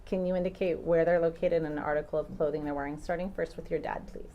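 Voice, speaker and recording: A woman attorney questioning a witness in a courtroom, patient and measured, with occasional ums. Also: low voice, steady tone, muffled recording, slight background noise. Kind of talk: courtroom direct examination.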